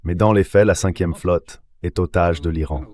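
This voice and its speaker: A man delivering a measured, explanatory political analysis as an interview guest, in a dubbed translation. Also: deep voice, steady tone, darkish tone.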